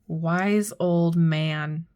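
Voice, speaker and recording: A woman unboxing and reviewing a tarot deck, making soft, admiring remarks as she turns the cards. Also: fairly low pitch, steady tone, drawn-out words, smooth. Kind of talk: unboxing commentary.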